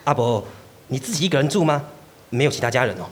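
Performer playing a jittery male burglar in his thirties, jumpy swinging pitch, radio play. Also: animated, quick pace, breathy edge. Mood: wary. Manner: wary and uneasy, turning to panicked screaming. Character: male burglar aged 36 posing as a renter, wary. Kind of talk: amateur radio drama acting.

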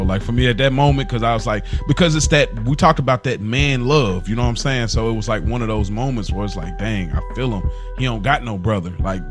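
A man with an American accent speaks in a somewhat low register. He co-hosts a podcast in a loose, slangy, conversational way, peppering his talk with filler words. There is music in the background. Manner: informal, slangy, frequent "bro" and "like". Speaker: podcast co-host. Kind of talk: casual conversational chat.

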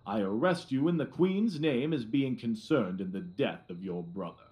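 A man's voice: medium pitch, expressive, moderate pace, a little mellow, natural voice. Man acting a pompous, swooping Victorian police inspector. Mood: officious. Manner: pompous, self-satisfied, officious. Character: pompous, blustering Victorian police inspector, smugly self-assured. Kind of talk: audio drama acting.

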